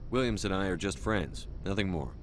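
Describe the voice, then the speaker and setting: A man playing a steady, reassuring starship commander in a video game, with plain, terse delivery. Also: deep voice, brisk, a little hiss or hum. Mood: firm. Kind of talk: scripted video game acting.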